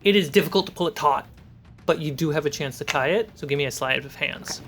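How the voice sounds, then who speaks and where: Game master for a tabletop fantasy campaign, medium pitch, music underneath. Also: fast talker.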